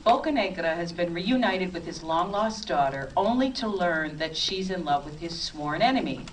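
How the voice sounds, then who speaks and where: A woman's voice: on the low side, expressive, moderate pace. A woman hosting an opera broadcast intermission, interviewing singers with enthusiastic affirmations and reading a scripted intro. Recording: distant mic with faint ambient noise.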